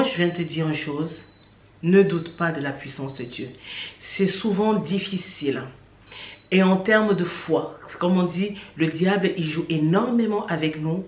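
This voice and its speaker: A woman's voice: lowish voice, slight background noise. A woman from Cameroon giving an earnest personal faith testimony, speaking straight to the listener and repeating points for emphasis. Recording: echoey room.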